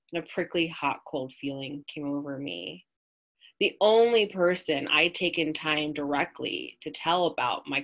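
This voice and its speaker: A woman with an American accent reads her personal essay aloud from a small room at home, her voice sitting low and unhurried. She lingers on key phrases and slows for emphasis, picked up by a plain webcam mic on a video call. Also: a bit bright, low-quality recording. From United States. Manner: measured, reflective reading with slowed emphasis. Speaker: writer reading her own work.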